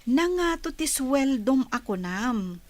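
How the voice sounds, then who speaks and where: An inquisitive, affectionate auntie in a radio drama. Her voice is clearly high and she draws her words out slowly, coaxing as she talks. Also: big pitch swings, heavily acted. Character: affectionate godmother and aunt, sociable and inquisitive.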